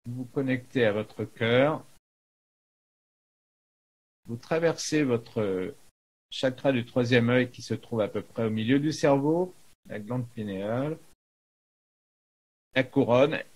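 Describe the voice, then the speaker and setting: Slow, husky-voiced man teaching spirituality. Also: medium pitch, darkish tone, rough recording. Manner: halting, frequent pauses mid-phrase. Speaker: spiritual teacher, video maker. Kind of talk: unscripted spiritual talk with guided meditation.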